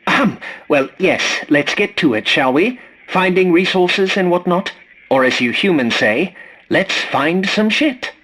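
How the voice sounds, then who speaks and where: An actor playing a fussy, polite, snarky scavenger robot in a video game, with a prim, formal and dryly sarcastic delivery. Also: male voice, high voice, expressive, unhurried, fairly bright tone, exaggerated voice. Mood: chipper, snarky. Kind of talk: scripted video game character lines.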